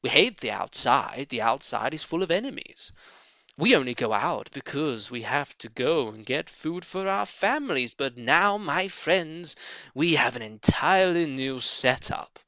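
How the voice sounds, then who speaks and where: A jovial, confident fox leader played by a male reader addresses his friends in grand storybook speeches. The voice is bright, animated and lifted, with a rousing orator's swing. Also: a little high, a little slow, no echo, heavily acted. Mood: earnest, persuasive.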